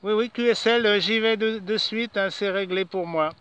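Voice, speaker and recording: A man's voice: high voice, moderate pace, muffled recording. A man making a ham radio exchange as an operator, repeating himself and hesitating between call signs. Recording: noisy radio transmission with clicks.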